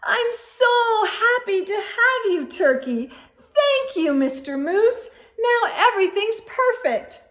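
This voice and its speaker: A woman's voice: very high voice, very animated, slow, fairly bright tone, pitched above the performer's own voice, full character voice. A woman voicing a delighted, motherly female moose hosting dinner in a children's storybook read-aloud.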